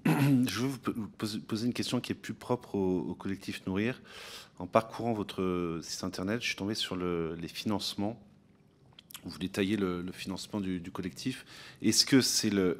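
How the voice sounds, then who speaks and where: A man in his thirties, acting as rapporteur and questioning witnesses in a parliamentary hearing, speaking haltingly with many restarts into a desk microphone in a large committee room. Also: low voice. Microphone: desk gooseneck microphone.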